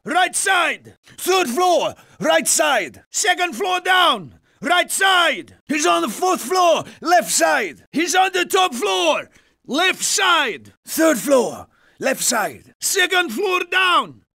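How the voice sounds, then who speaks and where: An actor playing a vengeful, commanding middle-aged Soviet sniper in a video game, with a Russian accent, a gravelly, husky voice and dramatic, deliberate delivery. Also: male voice, very high-pitched, big pitch swings, pushed and bright, raised voice, exaggerated voice. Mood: urgent shouting. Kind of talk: video game character acting.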